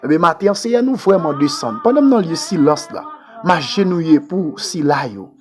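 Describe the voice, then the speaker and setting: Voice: medium pitch, lively intonation, normal speed. Haitian prayer leader, fervent and swooping. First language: Haitian Creole. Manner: fervent, pleading, repetitive. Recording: music playing under the voice. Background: music.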